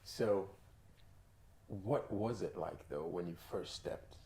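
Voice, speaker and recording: Low gravelly man in a roomy space. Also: slow, mellow. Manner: chatty, lots of short acknowledgments. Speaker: interview host. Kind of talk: conversational interview. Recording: roomy sound with faint background noise.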